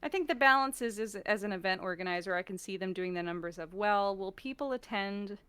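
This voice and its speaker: A woman's voice: mid-range voice, brisk, a bit bright, smooth, no room sound. An American woman chatting casually and expressively as a guest on a stream, acting out bits of what she quotes. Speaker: voice actor and performer, guest on a stream. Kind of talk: casual, animated chat.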